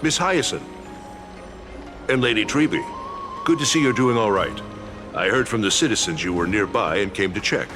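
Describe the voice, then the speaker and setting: A man voicing a seasoned, courteous lord in a fantasy video game, speaking formally and gravely. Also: on the low side, expressive, natural voice. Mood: concerned. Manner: formal, measured. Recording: game music behind.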